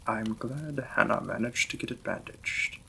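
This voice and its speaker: A man playing a gentle, apologetic Victorian vampire lord in a romantic audio roleplay, speaking formally and tenderly as a courteous new husband. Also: medium pitch, unhurried, gravelly, a little hiss or hum, full character voice. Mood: courteous, warm.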